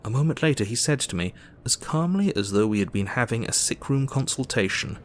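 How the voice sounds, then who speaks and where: An actor playing a composed Victorian doctor, narrating his diary in measured, formal storytelling. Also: mid-range voice, lively intonation, a little hiss or hum, close to an everyday voice. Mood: composed, recounting. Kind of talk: scripted narration.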